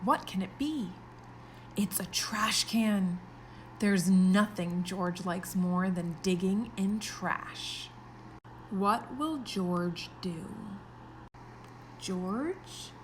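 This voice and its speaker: A woman's voice: mid-range voice, drawn-out words, slight background noise. A woman teaching pre-kindergarten children, reading a story aloud expressively and explaining it in simple words.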